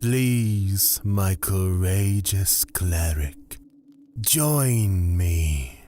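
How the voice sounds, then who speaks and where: An actor playing a calm, menacing god of fear, speaking deliberately and formally in a husky, breathy voice in a fantasy audio drama. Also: male voice, low voice, slight background noise, exaggerated voice. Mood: calm, ominous. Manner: deliberate, formal, drawn-out phrasing.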